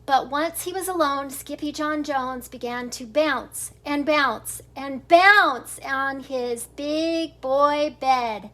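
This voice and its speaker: High-pitched, unhurried older woman reading a storybook. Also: fairly bright tone. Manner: giggly, informal. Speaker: grandmother reading children's books aloud. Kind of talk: storybook read-aloud with chatty asides.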